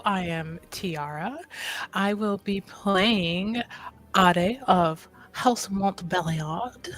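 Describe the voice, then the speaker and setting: A woman's voice: medium pitch, a bit bright, no echo. A woman casually introducing herself as a player in a tabletop roleplaying game.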